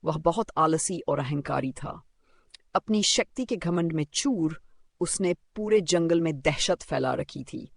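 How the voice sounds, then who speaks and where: Male narrator for kids' moral tales: higher-pitched, strong vocal fry, scripted storytelling delivery. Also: expressive, measured pace, dry recording.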